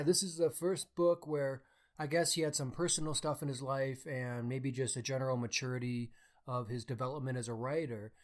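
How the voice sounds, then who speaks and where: A man talks as one of two podcast hosts, in casual, conversational book chat. His voice sits at an average pitch for a man. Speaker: podcast co-host.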